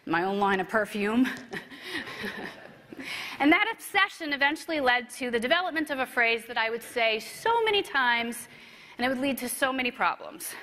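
A woman in her thirties giving a rehearsed motivational keynote story, with emphatic delivery and dramatic pauses. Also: fairly high pitch, sing-song, sharp tone. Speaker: motivational speaker. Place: convention stage, indoors. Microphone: clip-on lapel mic. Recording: echoey large hall.

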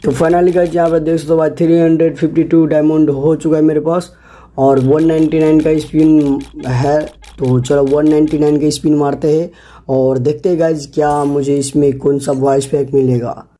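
Man, Indian accent, very dark soft-edged tone, slightly high pitch, casual gaming commentary, chatty, repetitive. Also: little pitch movement, normal speed. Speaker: gaming video host. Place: voice-over on a screen recording.

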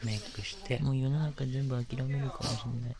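A man's voice: mid-range voice, steady tone, brisk, crowd noise, natural voice. A young man playing playful, clingy quadruplet brothers in an improvised ASMR voice drama, chattering casually and affectionately in a boyish manner. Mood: flustered. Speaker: voice actor. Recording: overlapping voices behind.

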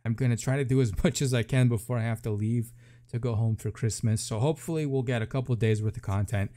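A man's voice: medium pitch, fast talker, mellow. A man giving casual, chatty commentary as a video game streamer, with an informal, jokey way of talking.

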